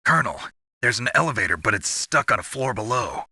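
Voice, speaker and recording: An actor playing a gruff, sardonic special-ops soldier in a video game, terse and world-weary with a gravelly, husky voice. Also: male voice, mid-range voice, very animated, a little fast, sharp tone, dry recording, full character voice. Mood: questioning, tense.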